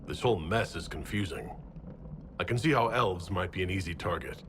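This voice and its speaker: A man's voice: low-pitched, lively intonation, brisk, noisy background. A man playing an earnest, plain-spoken hero burdened with leadership in a fantasy video game. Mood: questioning. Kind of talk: video game cutscene acting.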